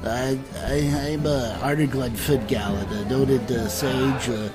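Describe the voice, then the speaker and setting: An actor playing a fussy, pedantic sage and inventor in a comic fantasy audio drama, halting, long-winded and pompous. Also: male voice, mid-range voice, steady tone, close to an everyday voice. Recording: music playing behind the voice.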